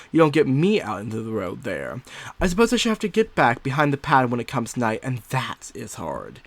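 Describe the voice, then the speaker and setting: A man's voice: medium pitch, a bit bright, no room sound. A man performing an unhinged, secretive married woman who is unravelling, in a theatrical, sly, gleeful gothic monologue. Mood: paranoid, creeping. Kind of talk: dramatic first-person gothic story narration.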